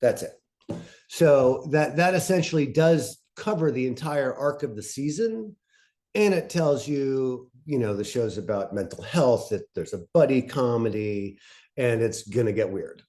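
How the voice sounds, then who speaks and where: A man presenting in an informal workshop, talking in a conversational, somewhat halting way. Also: mid-range voice, expressive, darkish tone.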